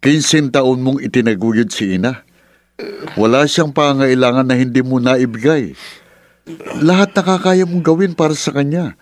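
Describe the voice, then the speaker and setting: A man's voice: mid-range voice, moderate pace, a little hiss or hum, lightly acted. A man playing a caring uncle who earnestly advises his troubled family in a radio drama. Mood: exasperated, urging.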